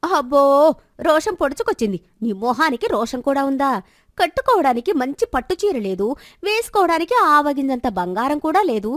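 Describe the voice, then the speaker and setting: Woman acting bitter, high-voiced, scolding village wife. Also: very animated, a little fast, no room sound, clean studio sound. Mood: scornful, angry. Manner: scornful, complaining, rhetorical questions. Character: bitter, sharp-tongued, childless village wife scolding her husband. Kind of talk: dramatized Telugu moral story.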